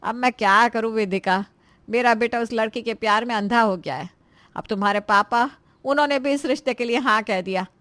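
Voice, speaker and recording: A woman's voice: fairly high pitch, brisk, fairly bright tone, no echo, lightly acted. A woman playing a worried, resigned mother of grown children in a cartoon moral story, fretful and conciliatory.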